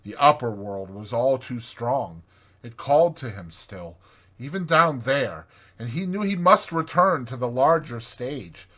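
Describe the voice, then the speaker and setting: A man gives a dramatized audiobook narration, expressive and measured. His voice is mid-pitched and forceful, with very animated intonation and a touch of rasp.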